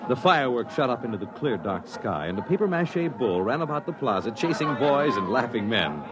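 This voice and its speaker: A man narrating a 1950s radio drama in a dramatic, measured, portentous manner. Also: medium pitch, sing-song, noisy background. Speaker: announcer and narrator. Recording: muffled old radio broadcast, loud music behind. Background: loud dramatic music.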